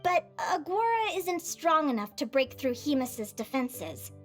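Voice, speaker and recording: A high, lively young woman adventurer from a video game. She is cheerful and encouraging, with swooping, expressive intonation, and music plays faintly behind her. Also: unhurried. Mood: instructive. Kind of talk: animated video game voice acting.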